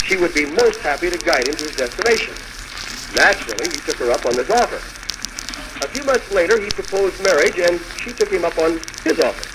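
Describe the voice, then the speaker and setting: Fast, lively 1940s American radio host, very noisy. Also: male voice, a little high. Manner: chatty, bantering. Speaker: radio show host, master of ceremonies. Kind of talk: 1940s live radio hosting.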